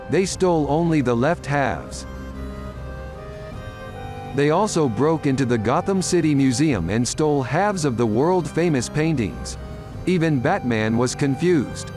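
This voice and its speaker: A man narrating a scripted audio drama story. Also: mid-range voice. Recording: loud music under the voice.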